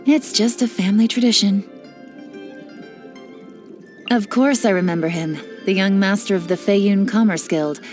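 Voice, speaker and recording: An actress playing a cool, cunning female spy and information broker, speaking with confident, sly, measured delivery in game voice lines. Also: medium pitch, a little mellow, soft background music, natural voice. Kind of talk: scripted character voice lines.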